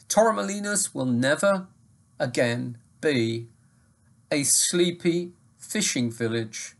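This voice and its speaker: An older English man with a faint Mancunian accent softened by years in the south, giving a deliberate recorded lecture from a home study into a webcam. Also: a little high. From England. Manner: slow, deliberate, explanatory. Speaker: sustainability lecturer.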